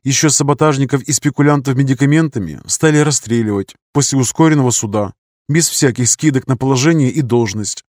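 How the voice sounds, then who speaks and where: Male audiobook narrator, even measured delivery, mid pitch, dry close recording. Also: no echo. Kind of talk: scripted narration of a novel.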